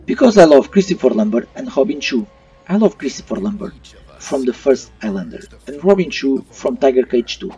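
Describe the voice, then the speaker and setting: A man narrates an opinionated review as the host, speaking English as a second language. His delivery is animated and his tone is soft and mellow. He sounds set back from the microphone, with faint music underneath. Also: medium pitch, normal speed, far from the mic, dull audio. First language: not English. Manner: non-native phrasing. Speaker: host of a retro gaming video channel.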